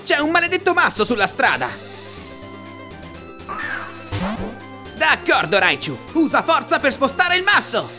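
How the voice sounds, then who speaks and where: A woman's voice: high-pitched, sing-song, brisk, sharp tone, exaggerated voice. A woman voicing a cocky, naive young boy in a cartoon fan dub, exclamatory and dramatic. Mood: amazed. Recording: music under the voice.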